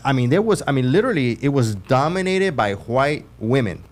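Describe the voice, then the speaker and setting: A man's voice: mid-range voice, sing-song, fairly quick. A man in his forties from Houston with a Texan accent, a realtor co-hosting a podcast, talking emphatically with lots of restarts and slang. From Houston, Texas. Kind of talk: casual podcast chat.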